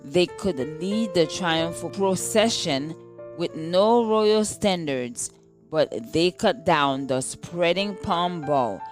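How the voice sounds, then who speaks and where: A woman reads a scripted devotional in a measured, deliberate way. Her voice sits a little low and moves very slowly, with faint music playing behind her. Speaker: devotional reader. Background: background music.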